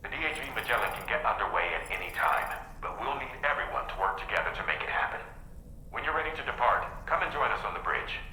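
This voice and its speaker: An actor playing an authoritative male crew leader in a video game cutscene, speaking deliberately. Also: medium pitch, animated, bright, forceful tone, roomy sound, a little hiss or hum, poor audio. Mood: businesslike. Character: commanding male crew leader aboard a ship.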